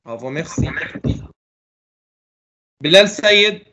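A man's voice: fairly high pitch, animated, drawn-out words, sharp tone, highs cut off, slight background noise. A middle-aged man teaching a French class over a video call, calling on students and patiently correcting their answers. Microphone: laptop mic on a video call.

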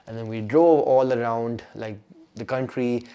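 A man's voice: medium pitch, steady tone, a little slow, clear, resonant tone, lo-fi sound. A young man whose first language is Urdu, chatting casually through a rambling vlog update, full of fillers and restarts. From Pakistan. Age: young adult. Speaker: doctor and vlogger.

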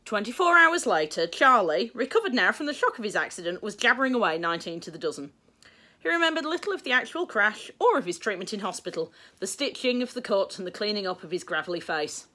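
A middle-aged English woman reading a children's story aloud in expressive narration. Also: mid-range voice, fast talker. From England. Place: small book-lined room indoors.

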